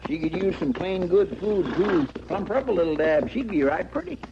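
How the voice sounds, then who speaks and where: Kindly folksy cowboy sidekick, rural american drawl, highish voice, muffled old radio show. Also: male voice, expressive, moderate pace, vocal fry, noisy background. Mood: indignant, folksy. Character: kindhearted, folksy western marshal's sidekick. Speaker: actor in a radio drama. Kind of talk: old-time radio western acting.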